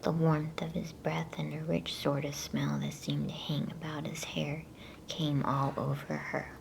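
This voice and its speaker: A woman whispering an ASMR bedtime story in a hushed, soothing, unhurried way. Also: deep voice, flat delivery, vocal fry. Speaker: ASMR storyteller and channel host. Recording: faint background noise.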